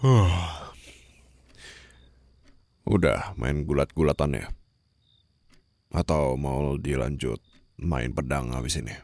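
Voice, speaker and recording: A man playing a menacing, condescending mafia boss in an ASMR roleplay, with a gravelly, breathy voice that often drops toward a whisper. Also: very deep voice, a little mellow, no echo, heavily acted. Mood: taunting. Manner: slow, taunting, controlled threats. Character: menacing, condescending young male mafia boss holding a captive.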